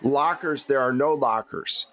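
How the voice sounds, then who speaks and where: A man's voice: medium pitch, unhurried, a bit bright. A man narrating an improvised tabletop game as game master in a conversational, explanatory way.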